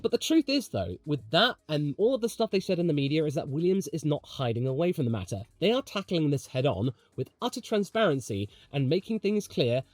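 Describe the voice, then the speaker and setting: Animated British man giving chatty commentary. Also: a little high, fairly quick, hardly any pauses, no echo. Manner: chatty, colloquial, emphatic. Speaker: motorsport commentary video host. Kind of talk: opinionated conversational video commentary.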